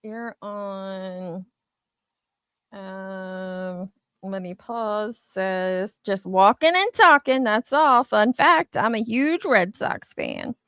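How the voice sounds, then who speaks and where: A woman's voice: mid-range voice, lively intonation, very slow, a bit bright, clear, resonant tone, no echo. A woman co-hosting a casual chat, reacting to comments in a sassy, teasing way. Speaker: co-host reacting to viewer comments.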